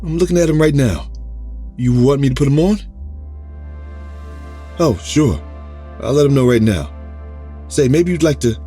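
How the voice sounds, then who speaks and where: A middle-aged man playing a calm, watchful father figure in an audio drama, easygoing and understated. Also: mid-range voice, moderate pace, long pauses, soft background music, natural voice.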